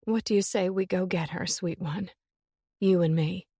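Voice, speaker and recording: A woman voicing an older mother who comforts her daughter in a soft, soothing way. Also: fairly low pitch, fairly even intonation, moderate pace, breathy edge, no echo, clean studio sound. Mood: tender.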